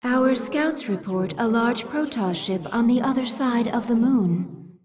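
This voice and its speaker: An actress playing a loyal alien advisor who reports briskly and dutifully. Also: female voice, on the high side, close to an everyday voice.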